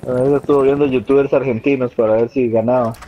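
A man's voice: mid-range voice, steady tone, a little fast, dull audio, a little hiss or hum. A man in a game voice chat, teasing his friends with banter. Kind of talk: casual gaming chat.

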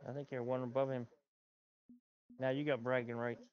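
A man's voice: medium pitch, flat delivery, normal speed, no room sound, dull audio. Grumbling middle-aged man streaming a game. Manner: grumbling, talking to himself about the game. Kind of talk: casual gameplay chat. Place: home gaming room, indoors.

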